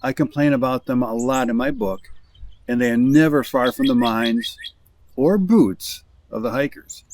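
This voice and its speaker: A man's voice: medium pitch, moderate pace, darkish tone, slight background noise. American man narrating a hiking trip. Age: middle-aged. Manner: wry, understated storytelling. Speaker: hiking vlogger. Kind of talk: scripted travel narration.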